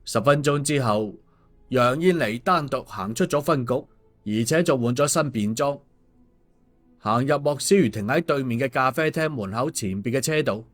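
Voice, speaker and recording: A male storyteller narrates a scripted audiobook. His delivery is colloquial and his pitch sits in the middle range. Faint music plays behind him. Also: expressive, moderate pace. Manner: colloquial, with sentence-final particles.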